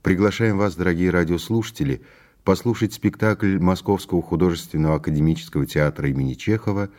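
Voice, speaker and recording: Deep-voiced male radio announcer. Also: darkish tone. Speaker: radio announcer introducing a play. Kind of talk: formal scripted radio announcement.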